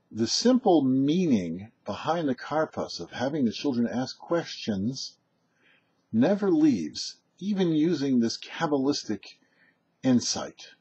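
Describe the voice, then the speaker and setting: A man who is a rabbi reads a prepared religious lesson in a measured, explanatory way with emphatic pauses. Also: mid-range voice, darkish tone, husky, dull audio. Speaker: rabbi hosting a weekly Torah teaching show. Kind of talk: prepared religious teaching, read aloud.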